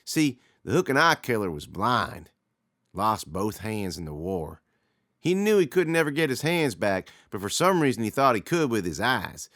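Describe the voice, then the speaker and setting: A teasing teenage older brother, played by a man reading aloud, drawing out a spooky tale in a slow, low drawl. The tone is bright and lively. The sound is dry and close. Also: no echo. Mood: ominous.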